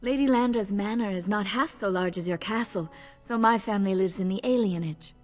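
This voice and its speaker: A woman playing a modest, gentle elven lady-in-waiting in a video game, speaking politely and deferentially. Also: medium pitch, fairly quick, close to an everyday voice. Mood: guarded, wistful. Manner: polite, deferential, soft-spoken. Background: music.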